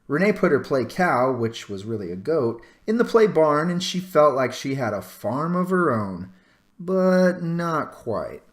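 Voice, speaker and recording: A man narrating a children's storybook aloud with dramatic pauses and stretched-out phrases. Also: a little high, big pitch swings. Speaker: storybook reader.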